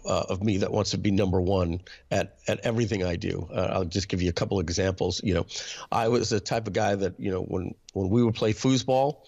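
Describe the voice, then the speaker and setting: Throat-clearing New man, low voice. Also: a little mellow. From New York. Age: 50s. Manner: hesitant, frequent throat clearing and fillers. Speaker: interview guest. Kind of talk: personal storytelling in an interview.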